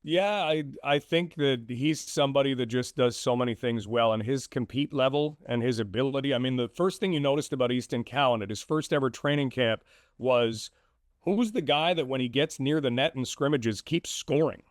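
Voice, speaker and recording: Man giving measured sports analysis, dry sound. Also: medium pitch, no room sound. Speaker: radio play-by-play sports broadcaster as guest. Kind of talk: conversational hockey analysis.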